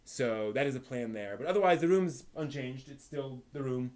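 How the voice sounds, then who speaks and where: A man with a mid-pitched voice chats casually as a live stream host. He explains ideas analytically, working them out as he speaks. The delivery is halting, with repeated words and unscripted detours. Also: darkish tone. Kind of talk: casual rambling live stream chat about anime.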